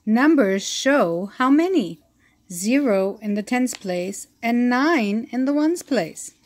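A woman reads a children's picture book aloud at a very slow, careful pace. Her voice sits a little high, and she counts out loud and asks the young listener questions. She is recorded on a phone held above the book on a table. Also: expressive. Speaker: read-aloud teacher.

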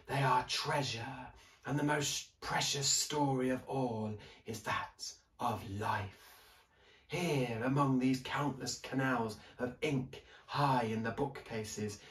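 A man's voice: medium pitch, recorded from a distance. A man playing a whispering hooded spectral librarian. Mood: solemn. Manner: slow, hushed, measured speech. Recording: roomy, from off the mic.

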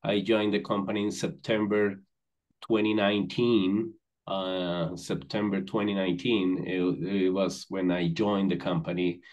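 A man speaks in a fairly low voice over a computer mic on a video call. His talk is friendly and loose, full of fillers and restarts as he chats in English. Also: level tone, unhurried, a little mellow, low-quality recording. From Venezuela. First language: Spanish. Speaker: company managing director, guest. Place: indoors with virtual backdrop.

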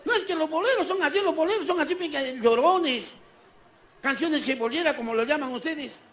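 A man's voice: very high-pitched, brisk, a bit bright. A man from Peru with a Peruvian accent performing stand-up comedy, telling stories theatrically and bantering with his audience. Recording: live show with crowd and background noise. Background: audience ambience.

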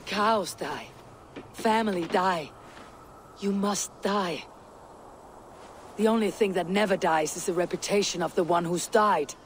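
A woman's voice: fairly low pitch, slight background noise, close to an everyday voice. An actress playing a resolute female viking warrior who speaks firmly and earnestly. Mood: pleading. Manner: firm, earnest, measured. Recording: game audio with music behind.

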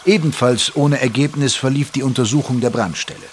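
An actor playing a wry gentleman doctor who narrates a German detective audio drama in a measured, literary manner. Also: mid-range voice, slight background noise, close to an everyday voice. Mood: measured narration. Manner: measured, literary, dryly amused.

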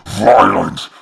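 Demon lord: wicked, exultant, very slow, rasping low growl for a comic dub. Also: male voice, full character voice. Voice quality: guttural, gravelly.

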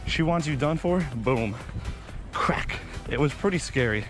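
A man's voice: on the high side, a little slow, music in the background. Man telling stories while jogging on a beach. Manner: animated, excitable storytelling with sound effects. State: slightly out of breath from running. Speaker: vlogger. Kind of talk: casual storytelling while jogging. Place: outdoors on a sandy beach. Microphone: body-mounted action camera.

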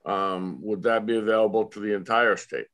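A man's voice: on the low side, steady tone, normal speed, a bit bright. A man on a committee questioning a witness over a video call, direct and plain. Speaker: state representative on committee.

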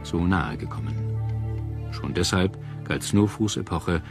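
Male documentary narrator, low register, scripted delivery, clear music bed underneath. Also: animated, a little slow. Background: background music.

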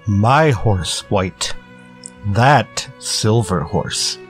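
A man acts out a stoic scout in a radio western. His voice is low and slow, deadpan and terse, in clipped broken English. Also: faint music behind. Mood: quiet wonder. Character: stoic, dry-witted Native scout, loyal male sidekick. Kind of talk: old-time radio western acting.

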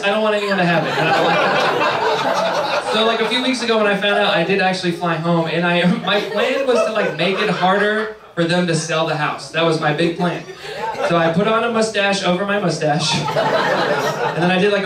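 Wry stand-up man in a roomy club. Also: high voice. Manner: deadpan, wry, jumps into quoted voices. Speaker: stand-up comedian. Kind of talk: stand-up comedy set. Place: small comedy club stage with a live audience. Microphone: handheld mic. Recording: roomy club sound from far off, heavy audience noise. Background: audience laughter.

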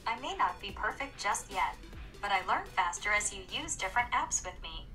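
A woman's voice: mid-range voice, expressive, moderate pace, pushed and bright, soft background music. A computer-generated woman's voice giving friendly, scripted voice-assistant prompts. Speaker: phone voice assistant.

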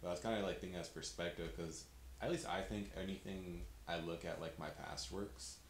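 A man interviewing a comedian, asking quick, chatty questions in a small room. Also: low-pitched, fairly even intonation. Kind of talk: casual interview talk. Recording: roomy with faint hiss.

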